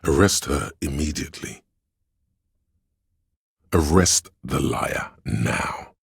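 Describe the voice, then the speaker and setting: A theatrical inner voice for a video game, grandiose and scheming like a courtier. The register is extremely deep, with heavy gravel and a husky rasp. The delivery is dramatic, declamatory and archaic. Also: male voice, expressive, slow pace, dry recording, full character voice. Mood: suspicious, stern. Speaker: voice actor.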